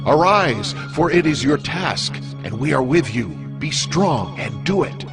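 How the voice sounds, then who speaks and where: Earnest Israelite exhorting, over music. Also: male voice, fairly high pitch, lively intonation, a little slow. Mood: resolute, urging. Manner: solemn, exhorting. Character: earnest, resolute male Israelite urging his leader. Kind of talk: dramatized scripture reading.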